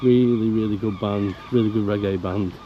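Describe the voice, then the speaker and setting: A man narrating a casual walk around a park fishing lake, croaky from illness, chatty and grumbling about the council. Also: on the low side, steady tone, normal speed, muffled recording. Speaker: angler vlogger. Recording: outdoor camera audio with faint background noise.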